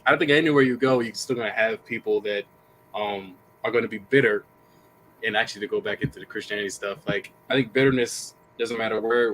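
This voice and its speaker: A man hosting a livestream panel, talking in a rambling, animated way and swearing casually. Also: fairly low pitch, a little hiss or hum, rough recording. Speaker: livestream host.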